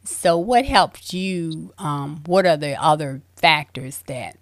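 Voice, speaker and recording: A woman hosting a faith-based interview, telling her own story in an emphatic, animated way. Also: deep voice, slow, no echo. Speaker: host.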